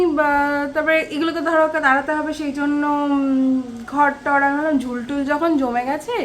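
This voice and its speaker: A woman with a West Bengali accent telling everyday stories casually to viewers in a chatty home vlog. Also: sits high. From West Bengal, India. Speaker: vlogger. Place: small one-room home. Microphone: handheld camera at arm's length. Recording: faint background noise.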